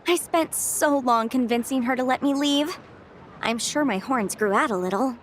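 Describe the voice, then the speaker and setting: A woman's voice: high voice, slight background noise, natural voice. A woman voicing a chatty young female legal consultant with adeptal blood and small horns, in video game dialogue. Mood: flustered. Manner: brisk, chatty, flustered when dodging questions. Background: game music.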